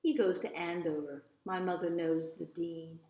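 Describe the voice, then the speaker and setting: A woman's voice: lowish voice, steady tone, normal speed, warm tone, natural voice. A woman reading as a precocious, self-assured little rich girl in a storybook, matter-of-fact and haughty with dramatic flourishes. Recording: roomy, echoey voice recording.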